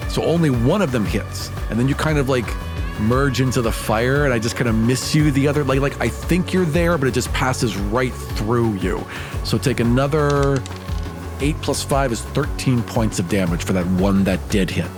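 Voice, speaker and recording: Mid-pitched man playing tabletop game over music. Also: moderate pace. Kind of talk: casual game talk, describing moves and dice results. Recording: music bed under the voice.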